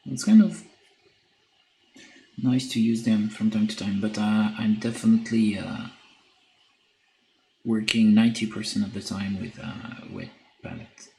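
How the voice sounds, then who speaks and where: A man with a French accent talks slowly and hesitantly, filling pauses as he paints and answers chat on a stream. His voice sits low with a gravelly edge. The room sounds echoey, with a faint hiss behind him. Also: very animated, darkish tone. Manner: hesitant, many filler pauses, reads chat aloud. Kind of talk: casual live-stream chat while painting.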